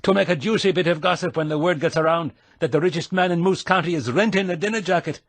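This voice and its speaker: A man's voice: a little high, fast, dry recording, voice pushed up. A man voicing a chatty, gossipy male shopkeeper with a put-on Scottish brogue. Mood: teasing. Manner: teasing, gossipy, rolling brogue.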